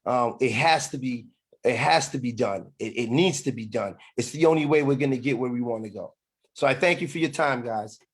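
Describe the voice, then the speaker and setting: A middle-aged man speaking as a political candidate in an online meeting, halting, with frequent pauses and repeated phrases. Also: mid-range voice, slightly rough. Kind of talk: campaign speech to an online meeting.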